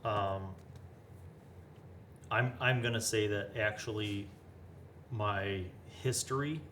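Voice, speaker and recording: A man hosting a casual chat, thinking aloud and asking quick questions while building a game character. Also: fairly low pitch, fairly even intonation, a bit bright, a little hiss or hum. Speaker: host.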